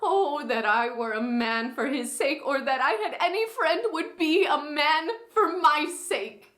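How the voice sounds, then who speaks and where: A woman playing a furious, grief-stricken young noblewoman in a classical stage monologue, with an impassioned, anguished delivery and drawn-out words. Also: sits high, a bit bright, reverberant, pitched above the performer's own voice. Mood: bitter scorn.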